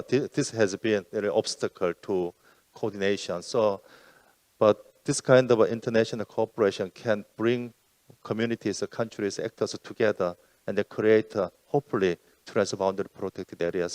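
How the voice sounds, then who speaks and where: Low-voiced man, halting panel remarks. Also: darkish tone, no echo. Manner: halting, many fillers and self-corrections. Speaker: UN environmental program officer.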